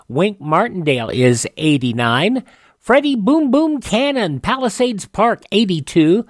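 Radio host guy, voice a bit high, announcery, punches the names, dry close mic. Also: no echo. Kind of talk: scripted radio almanac narration.

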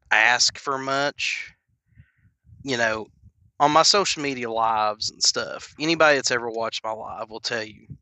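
Young man with a cold, rambling casual podcast rant, bright tone. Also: medium pitch, no echo. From Knoxville, Tennessee. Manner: rambling, filler-heavy, earnest. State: sniffly from a cold. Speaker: podcast host.

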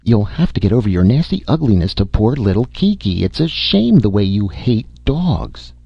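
A man voicing a haughty, irritable young mother with a brisk, sharp manner in an audiobook. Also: lowish voice, sing-song, gravelly, breathy edge, voice pushed up, heavily acted. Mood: sharp indignation. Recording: muffled audio.

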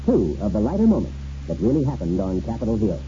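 A man's voice: fairly low pitch, wildly swooping pitch, fast talker. A man narrating scripted anecdotes as a radio feature. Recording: muffled, faintly noisy old radio recording.

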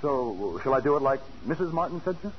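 A man's voice: mid-range voice, very animated, brisk, lightly acted. A man playing a nervous, deferential shop worker in a 1940s radio drama, hesitant and stammering. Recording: dull, hissy 1940s radio recording.

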